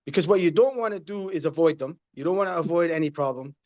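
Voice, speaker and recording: A man's voice: a little high, fast talker, darkish tone, highs cut off. A man in his thirties, a guest in a casual interview, talking earnestly and repeating his points like a motivational speaker. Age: 30s.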